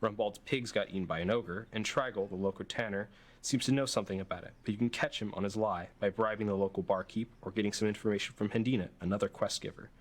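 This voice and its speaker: Low-voiced man explaining game design. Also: steady tone, fast. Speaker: game developer. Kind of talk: explanatory developer commentary.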